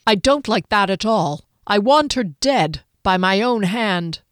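A woman's voice: mid-range voice, animated, unhurried, dry recording, clean studio sound, lightly acted. A woman doing a grumpy, vengeful mercenary. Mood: angry. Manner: gruff, curt, forceful. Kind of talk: audiobook character voice.

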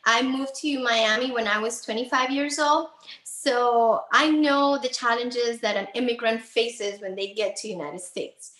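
A woman with a Cuban Spanish accent speaks earnestly, often stopping to find the right English word. Her voice is bright and somewhat high. The recording carries a noticeable room echo. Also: steady tone, a little slow. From Cuba. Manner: earnest, searching for words, repeats herself. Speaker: immigration attorney, guest. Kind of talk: conversational interview.

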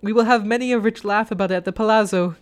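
A man doing a shrill, hysterically laughing nobleman. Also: steady tone, fast talker, clear, resonant tone, lightly acted. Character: terrified chained nobleman laughing wildly.

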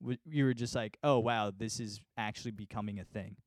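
A man's voice: lowish voice, brisk, dry recording, clean studio sound. A young man hosting a casual interview asks long, meandering questions packed with "like.". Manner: rambling questions, filled with "like".

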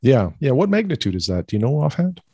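A man's voice: medium pitch, sing-song, fast, warm tone, breathy edge, no echo. A man co-hosting an astronomy podcast, explaining in an easygoing way with fillers. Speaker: podcast co-host. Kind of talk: casual astronomy chat.

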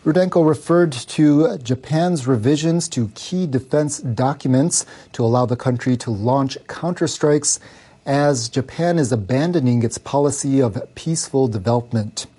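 A man's voice: medium pitch, normal speed, mellow, husky. A man reading scripted international news for radio as a newsreader. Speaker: news reader.

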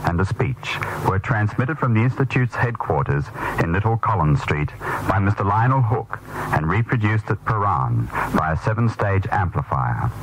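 A man reading old newspaper passages aloud in a formal, deliberate manner. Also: on the low side, sing-song. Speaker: reader of quoted passages. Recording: noisy old radio broadcast.

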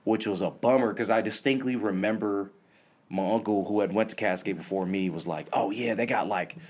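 A man in his thirties who was born in Vietnam chats in an easygoing, slangy way. He tells stories and laughs often, in relaxed conversation. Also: fairly low pitch, brisk. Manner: laid-back slangy storytelling, laughs often. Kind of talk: casual chat.

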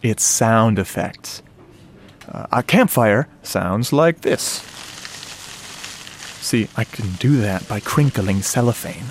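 A man's voice: lowish voice, lively intonation, takes it slowly, long pauses, slight background noise. A man acting in a radio drama as an enthusiastic sound-effects guy, explaining things conversationally with questions to the listener. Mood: casual, eager.

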